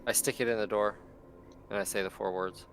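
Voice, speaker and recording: A man's voice: on the low side, fairly even intonation, fairly quick, clear, resonant tone. A man playing a tabletop game, casually calling out what his character does.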